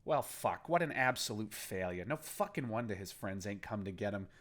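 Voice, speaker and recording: A man's voice: fairly low pitch, animated, normal speed. A man reading a story aloud, voicing a furious, profane male gang boss who threatens and then coaxes in a raised, cursing voice. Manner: swearing, menacing, raised and shouting. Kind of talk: audiobook character voice.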